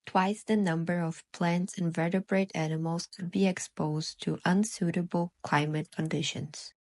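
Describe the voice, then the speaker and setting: A woman in her twenties from Brazil opens a podcast episode with a prepared script. Her voice sits a little low, and her reading is steady and even. The sound is dry and close, with little trace of the room. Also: normal speed, no echo. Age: 20s. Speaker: podcast co-host.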